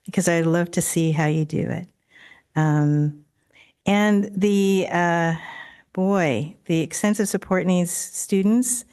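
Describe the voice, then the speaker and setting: A woman raised in Daly City whose first language was not English, speaking as a school board trustee at a meeting, with a halting delivery full of fillers and restarts. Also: lowish voice, warm tone, clear, resonant tone. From Daly City, California. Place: district office board room.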